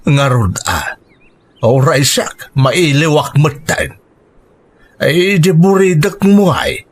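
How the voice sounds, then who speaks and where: A husky, animated worried Filipino father. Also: fairly high pitch, slow pace, full character voice. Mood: wistful. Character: worried, doting middle-aged father, soft-hearted family man. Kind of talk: Ilocano radio drama acting.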